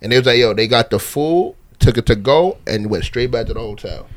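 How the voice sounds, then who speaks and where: A man's voice: mid-range voice, animated, brisk. A man, a podcast co-host in casual chat, telling stories in a loose, easygoing way. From United States. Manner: loose storytelling, drops quieter when telling a story.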